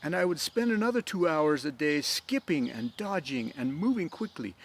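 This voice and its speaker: A man puts on a driven young swordsman from a storybook, eager and earnest. His voice sits fairly high and leaps about as he rambles through his explanations, with a little noise behind him. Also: gravelly. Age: young adult. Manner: eager, rambling, counting aloud.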